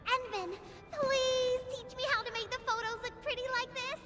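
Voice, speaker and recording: A woman voicing an excitable, whiny, adoring little child in a video game, with an eager, pouty way of talking. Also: extremely high pitch, moderate pace. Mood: excited, delighted. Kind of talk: video game character acting. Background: music.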